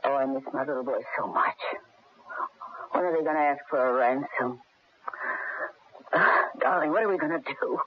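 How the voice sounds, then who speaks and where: A woman's voice: a bass voice, normal speed, pauses often. A woman playing a fretful, frail mother in a radio mystery, plaintive and anxious in her questions. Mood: distressed. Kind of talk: 1970s radio mystery acting. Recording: muffled vintage radio broadcast with hiss.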